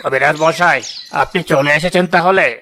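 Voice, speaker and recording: A man's voice: fairly high pitch, moderate pace, background noise, lightly acted. A man playing a stern, concerned village headman in a cartoon, speaking with authority. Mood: stern, demanding. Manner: authoritative, questioning. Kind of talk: Bengali cartoon voice acting.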